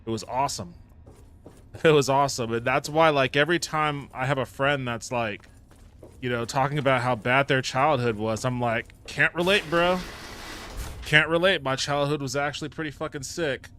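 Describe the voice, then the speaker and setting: A man's voice: medium pitch, normal speed, bright, forceful tone, smooth. A man raised in Japan, live-streaming a game and chatting casually with his viewers. He is upbeat, thanks people by name and swears casually. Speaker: livestreamer.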